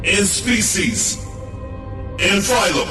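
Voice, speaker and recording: A man's voice: sits high, expressive, slow, music in the background. A man playing a touchy, pedantic giant spider who sounds forever aggrieved, in a comic radio play. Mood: righteous outrage. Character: indignant, easily offended male giant spider underling. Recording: echoey, distant voice chat.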